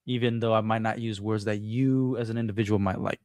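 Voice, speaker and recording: A man's voice: on the low side, fairly even intonation, a little fast, darkish tone, no echo. Brief: man from Brazil, Portuguese first language, chatty and open guest, dry close sound. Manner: open, chatty, laughs easily, trails off and restarts. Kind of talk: casual chat.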